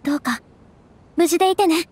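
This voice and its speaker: Game noble lady, young woman, caring, soft refined delivery, very high pitch. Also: fairly quick, a little hiss or hum. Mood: concerned. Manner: soft, polite, refined speech.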